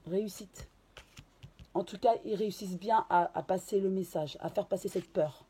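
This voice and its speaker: A woman with a hoarse voice from a cold, giving a casual card reading and channeling, with many hesitations and restarts. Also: medium pitch, normal speed, warm tone, a little hiss or hum. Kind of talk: casual tarot reading to camera.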